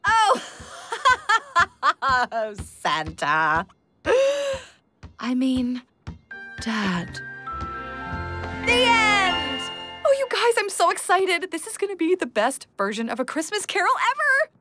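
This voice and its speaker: An actress playing a bossy, scatterbrained cartoon amateur thespian who gushes theatrically. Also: female voice, sits high, sing-song, slow, full character voice. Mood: gushing. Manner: overdramatic, gushing. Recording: music behind the voice.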